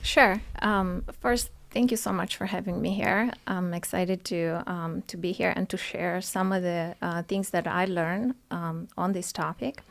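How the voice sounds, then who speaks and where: A woman, answering interview questions in a soft, hesitant way with many pauses and ums. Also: on the low side, steady tone, gravelly. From Romania. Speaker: podcast guest, nurse. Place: studio.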